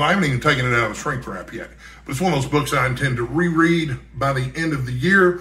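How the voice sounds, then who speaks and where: A man's voice: a little high, fairly bright tone. An American man chatting casually as a book vlogger, in a folksy, rambling way. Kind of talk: casual chat to camera. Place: indoor room at home. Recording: echoey, roomy sound.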